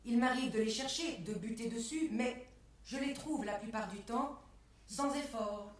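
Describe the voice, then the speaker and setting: A woman delivering a scripted spoken exposé in a measured, carefully enunciated way, setting phrases apart like quotations. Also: a little high, far from the mic. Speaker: performer in a spoken-word performance lecture. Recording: echoey room, picked up from afar.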